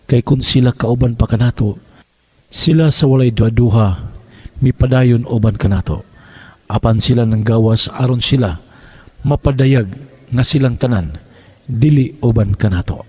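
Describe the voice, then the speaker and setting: A man giving a measured, scripted scripture reading as a devotional. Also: mid-range voice, mellow, husky. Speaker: devotional reader.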